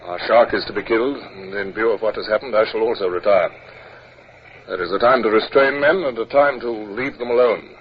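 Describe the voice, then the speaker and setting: A man's voice: lowish voice, brisk, lightly acted. A man playing a stern, reserved British naval captain who speaks formally and narrates his adventures in a 1950s radio drama. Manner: formal, clipped, commanding. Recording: muffled, hissy old radio recording.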